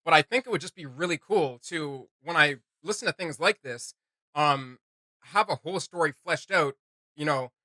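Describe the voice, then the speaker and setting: Bright, enthusiastic man chatting excitedly. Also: on the high side, normal speed, no room sound. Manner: enthusiastic, emphatic, slangy. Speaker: reaction video co-host. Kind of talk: casual excited reaction chat.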